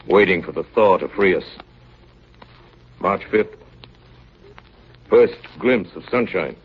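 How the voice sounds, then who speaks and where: Authoritative naval officer, very low voice, grave clipped delivery, polar radio play, dull vintage recording. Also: male voice, husky. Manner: grave, clipped log-style narration, firm in dialogue. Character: steady, authoritative ship's chief officer narrating polar ordeal. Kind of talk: 1930s radio drama acting. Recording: dull, lightly noisy 1930s radio recording.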